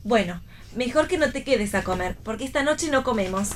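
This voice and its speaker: A young pregnant Argentine daughter, acted by a woman in a radio play. Her voice is high and swings freely up and down. She is playful, brisk and plain-spoken, with theatrical delivery. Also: expressive, slight background noise, close to an everyday voice. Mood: matter-of-fact.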